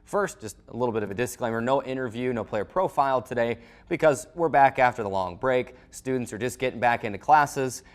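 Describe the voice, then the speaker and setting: A man hosting a sports recap show, reading out schedules to viewers in a slightly halting announcer delivery. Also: mid-range voice, lively intonation.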